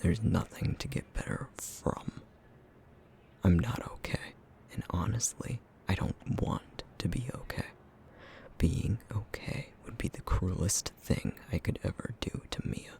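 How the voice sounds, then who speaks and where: A man playing a brooding, guilt-ridden essence-eating creature in an ASMR roleplay drama, bitter and sarcastic, with a breathy, gravelly half-whisper. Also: low voice, unhurried, darkish tone. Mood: bleak, defiant.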